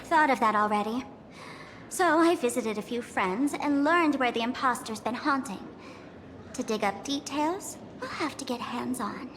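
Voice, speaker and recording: A woman's voice: a little high, lively intonation, measured pace, fairly bright tone, big-room sound, faint music behind. An actress voicing a playful, mischievous young woman in a video game cutscene, with a teasing, theatrical manner. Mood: confident. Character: playful, mischievous young woman running a tavern gathering intel.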